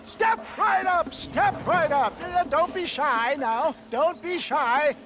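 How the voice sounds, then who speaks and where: A man's voice: extremely high pitch, slow pace, noisy background. An actor playing a theatrical snake-oil salesman with grandiose, wheedling carnival-barker patter in a western video game. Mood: showy, hawking. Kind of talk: video game dialogue acting.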